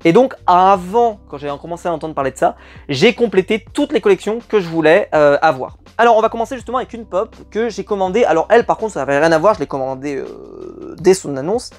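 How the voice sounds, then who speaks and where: A man's voice: sits high, animated, fast talker. A man chatting to camera as a collectibles vlog host, enthusiastic and rambling, with many hesitant fillers. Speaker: YouTube collector channel host. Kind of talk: casual unboxing chat to camera.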